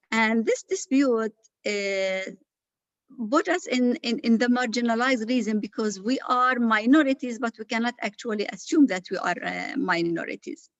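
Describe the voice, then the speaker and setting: Woman from palestine presenting at a conference, higher voice, dull dry sound. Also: no room sound. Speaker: association president, presenter.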